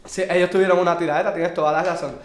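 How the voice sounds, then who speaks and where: A man's voice: high-pitched, steady tone, rapid-fire. A man with a Puerto Rican accent, chatting animatedly about music on a casual podcast and swearing freely. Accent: Puerto Rican Spanish. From Puerto Rico. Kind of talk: casual chat reviewing music. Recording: echoey room.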